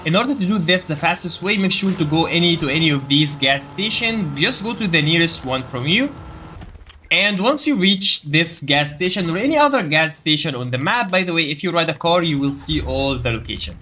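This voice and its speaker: A man's voice: fairly high pitch, animated, fairly quick, sharp tone, slight background noise. A man giving a casual gameplay tutorial, explaining steps directly to viewers. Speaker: gaming video creator giving a quest guide.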